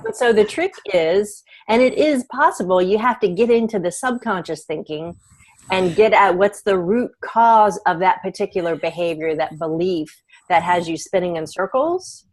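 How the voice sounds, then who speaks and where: A woman's voice: lowish voice, animated, normal speed. A woman hosting a women's group video call, explaining habits and the brain in an earnest, encouraging way. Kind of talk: coaching talk and group facilitation.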